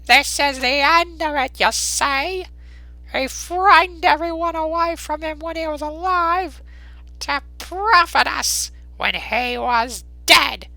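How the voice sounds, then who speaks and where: A man voicing a brazen, callous working-class charwoman in a dramatised Victorian storybook, with a coolly unashamed, drawn-out way of scoffing. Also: very high voice, vocal fry, bright, forceful tone, no room sound, voice pushed up, full character voice, poor audio. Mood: mocking.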